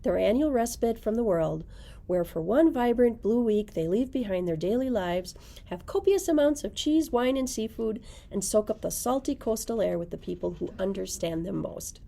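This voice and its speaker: Mellow-voiced woman presenting books. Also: medium pitch, expressive, normal speed, vocal fry. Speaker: librarian presenting book picks. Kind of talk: reading book blurbs aloud with brief chat. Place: indoors, library room with bookshelves.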